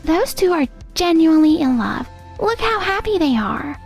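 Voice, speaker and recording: A woman's voice: very high voice, very animated, moderate pace, vocal fry, soft background music, heavily acted. A woman playing a blunt, fed-up young girlfriend in a manga dub, with an exasperated, dramatic manner. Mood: reproachful. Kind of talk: animated manga dub dialogue.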